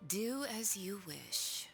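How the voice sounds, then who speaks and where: A woman's voice: medium pitch, vocal fry, fairly bright tone, exaggerated voice. A woman voicing a cool, mocking villainess envoy in a video game, smug and condescending. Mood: dismissive.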